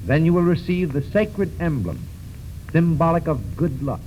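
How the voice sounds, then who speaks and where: A man announcing formally for a 1930s radio serial and reading its sponsor's pitch in a declamatory style. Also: expressive, moderate pace. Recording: muffled, faintly noisy 1930s radio recording.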